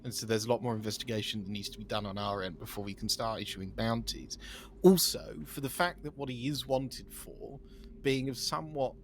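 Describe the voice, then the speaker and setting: A man's voice: lowish voice, a little hiss or hum. A man playing a lawman in a roleplay game, explaining things in a formal, long-winded way. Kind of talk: in-character roleplay chat.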